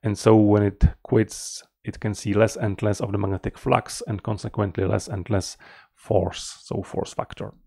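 A middle-aged man giving an explanatory technical presentation as a researcher, in a measured, slightly halting manner, close to the mic. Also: on the low side, little pitch movement, vocal fry, dark tone, breathy edge, no room sound. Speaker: researcher presenting a conference paper.

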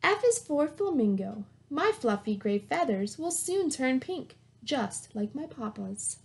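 Animated, slow-paced woman reading to children. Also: high-pitched, a little hiss or hum. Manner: slow, sing-song, encouraging way of talking to young children. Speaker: teacher reading aloud to young children. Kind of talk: children's picture-book read-aloud with simple questions and praise. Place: small plain indoor room at home. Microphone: webcam or laptop mic at arm's length.

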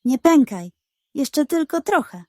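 A woman's voice: sits high, big pitch swings, measured pace, dark tone, no echo, clean studio sound, pitched above the performer's own voice. A woman voicing a brave male tiger pirate captain in a bedtime story, urgent and commanding. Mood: urgent, determined.

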